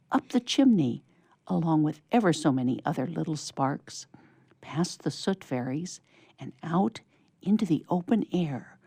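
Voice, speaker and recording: A woman reading a children's story aloud as expressive storybook narration. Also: low-pitched, gravelly, breathy edge, dry recording, studio quality. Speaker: story reader.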